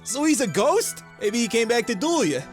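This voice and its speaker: A man's voice: very high voice, big pitch swings, moderate pace, faint music behind, voice pushed up. A man voicing a brash, dim but lovable teenage boy sidekick who blurts out his lines. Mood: confused.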